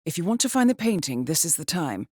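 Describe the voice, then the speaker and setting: An actress playing a coolly professional handler who briefs an assassin in a video game, speaking in a composed, formal with dry understatement. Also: female voice, sits low, very animated, fast, a little mellow, breathy edge, no echo, full character voice. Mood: calm briefing.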